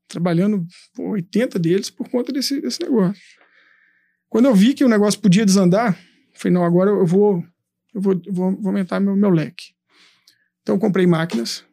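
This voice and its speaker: A man telling his business story in a casual interview, animated, swearing and acting out his past thoughts. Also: sits high, creaky, no room sound, clean studio sound. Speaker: entrepreneur guest.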